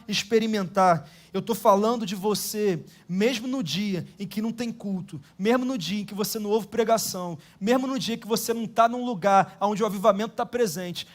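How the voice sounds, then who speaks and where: A Brazilian pastor with a Brazilian Portuguese accent gives an earnest, measured sermon in church, using frequent rhetorical tags. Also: male voice, high voice.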